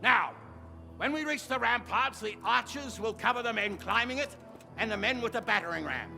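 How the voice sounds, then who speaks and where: An actor playing a gruff, blunt, hot-tempered medieval lord in a video game, giving orders to his soldiers. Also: extremely high pitch, expressive, normal speed, pushed and bright, faint music behind, exaggerated voice. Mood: rousing, commanding. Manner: blunt, commanding, coarse-mouthed. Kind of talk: dramatic video game acting.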